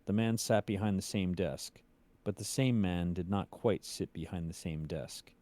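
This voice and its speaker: A man reading aloud in a measured, suspenseful storytelling style. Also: low voice, mellow. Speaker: cast member reading a story aloud on a stream. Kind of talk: dramatic story reading, then casual chat.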